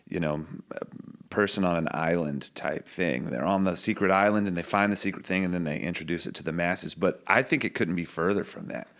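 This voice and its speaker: A man with a very low, deep voice talks as a songwriter guest on a podcast. He muses his way through ideas, circling back and restarting as he thinks out loud. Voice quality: low singing voice. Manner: thoughtful, musing, loose restarts. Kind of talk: reflective podcast conversation.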